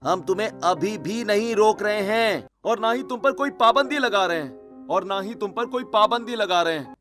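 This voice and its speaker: A man's voice: high-pitched, animated, normal speed, a bit bright, soft background music, pitched above the performer's own voice. A man voicing a stern young husband in a cartoon story, firm and accusing. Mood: stern, reasoning. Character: stern, angry young husband scolding his wife.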